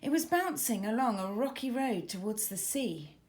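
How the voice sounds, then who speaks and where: Animated, higher-pitched woman storyteller. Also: moderate pace. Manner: clear dramatic emphasis for young children. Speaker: children's author reading own book. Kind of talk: expressive storybook narration.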